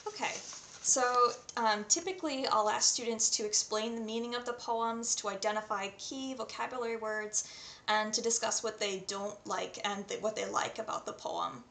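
A woman's voice: a little high, lively intonation, normal speed, vocal fry, fairly bright tone. A woman in her twenties with a North American accent, presenting as an online English tutor. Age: 20s. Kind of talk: self-introduction to camera with poem readings. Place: small indoor room at home. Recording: roomy echo, faint background noise.

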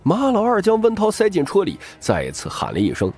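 A man's voice: high voice, huge pitch swings, fairly quick, a little mellow, breathy edge. A man giving dramatic scripted narration of a crime novel as an audiobook narrator. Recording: music bed behind the voice.

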